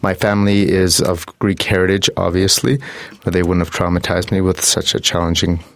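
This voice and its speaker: A man's voice: deep voice, little pitch movement, brisk, no room sound. A young man with a Canadian accent answering interview questions conversationally, with a wry, lightly joking manner. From Banff, western Canada. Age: young adult. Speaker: town councillor, interview guest.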